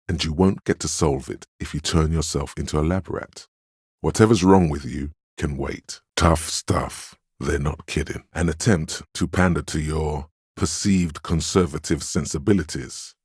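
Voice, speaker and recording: A grave inner voice of willpower in a detective game, super deep, dry sound, weary and admonishing, bit sardonic. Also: male voice, unhurried, a little mellow, no echo, exaggerated voice. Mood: grave, reasoning. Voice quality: very deep, smooth, resonant. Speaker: voice actor in a video game. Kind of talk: scripted game dialogue and narration.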